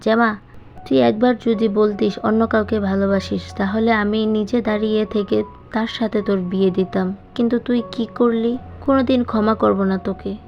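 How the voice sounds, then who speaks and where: A woman's voice: medium pitch, steady tone, normal speed, lightly acted. A woman reader acts out a stern older brother in an audio story. He is grave, hurt and full of unforgiving reproach. Music plays behind the somewhat muffled voice. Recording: dull sound with music behind.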